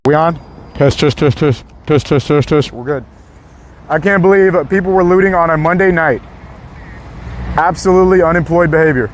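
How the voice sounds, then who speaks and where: Hoarse man, casual live-stream chatter, fairly high voice, loud street noise. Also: moderate pace, pauses often. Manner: chatty, laid-back, talks straight to viewers. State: hoarse, voice worn out. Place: outdoors on a city street. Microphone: small clip-on mic.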